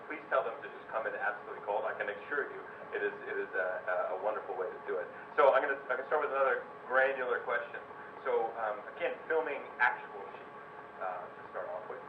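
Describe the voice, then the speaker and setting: Male Q&A host, lowish voice, eager rambling questions, heard from afar with noise. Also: fast talker. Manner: enthusiastic, rambling questions with many restarts. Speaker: moderator. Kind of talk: hosting a post-screening Q&A. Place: cinema auditorium. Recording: echoey hall, distant mic, dull sound.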